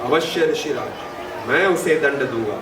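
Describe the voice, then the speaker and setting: A man's voice: medium pitch, animated, unhurried, lightly acted. An actor playing a noble, troubled king and doting father in a mythological drama, formal, reverent and solemn in his declamation. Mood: solemn, resolute. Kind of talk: theatrical Hindi mythological audio drama. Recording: roomy distant playback with music behind.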